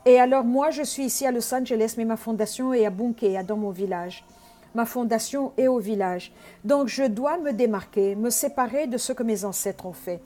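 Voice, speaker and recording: A woman's voice: on the high side, normal speed, dark tone. A woman from Congo preaching an earnest sermon, teaching with frequent hesitations.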